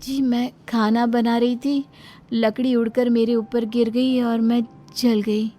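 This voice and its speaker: A woman's voice: on the high side, level tone, slow, mellow, pitched above the performer's own voice. A woman voicing an earnest, long-suffering young daughter-in-law with a plaintive manner in a cartoon story. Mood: meek explaining. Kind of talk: cartoon moral story dialogue. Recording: music under the voice.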